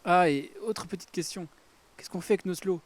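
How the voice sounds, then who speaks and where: A man's voice: sits high, normal speed, slight background noise, natural voice. A man playing an anxious, irritable young adventurer in an audio drama, speaking in a blunt, exasperated and urgent way. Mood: serious, reproachful. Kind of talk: audio fiction acting.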